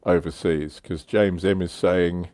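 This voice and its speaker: A man's voice: low voice, fairly even intonation, measured pace, mellow, dry recording, studio quality. A man hosting a casual livestream discussion about a hobby, talking conversationally. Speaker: livestream host.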